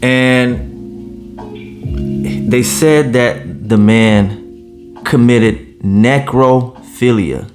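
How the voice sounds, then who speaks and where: A man in his late thirties talks slowly and deliberately in a mid-pitched voice, reacting with blunt, profane indignation. Faint guitar music plays behind him. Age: 30s. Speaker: commentary vlogger. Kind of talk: reaction commentary.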